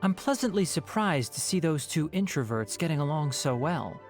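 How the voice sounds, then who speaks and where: A sharp young male scholar, voiced by a man, talks in a measured way with a dry, sarcastic edge. His pitch moves lively up and down, and faint game music plays behind him. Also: lightly acted. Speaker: scholar and guardian. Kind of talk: video game voice acting.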